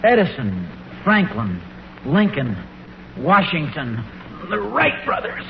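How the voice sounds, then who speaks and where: A man's voice: a little high, huge pitch swings, takes it slowly, vocal fry, heavily acted. An actor playing an earnest, hesitant suburban American dad in an old-time radio family comedy, eager to impress his sons. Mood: flustered. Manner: hesitant, mild, stammering. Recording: muffled, noisy old radio broadcast recording.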